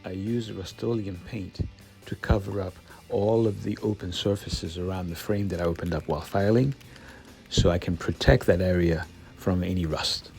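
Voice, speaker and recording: A man's voice: sits low, normal speed, vocal fry, darkish tone, soft background music. A man narrating his own DIY build in a casual, candid, informal way, like a vlogger.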